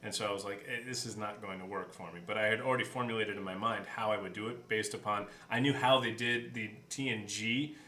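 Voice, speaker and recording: Bright-voiced low-pitched man, echoey room. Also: steady tone, fast talker, hardly any pauses. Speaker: podcast co-host and editor. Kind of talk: casual behind-the-scenes chat. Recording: roomy echo, clear background noise.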